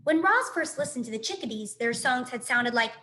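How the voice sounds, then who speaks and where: A woman teacher reading a children's story aloud with expressive, carefully phrased narration and dramatic emphasis. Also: fairly high pitch, a little fast.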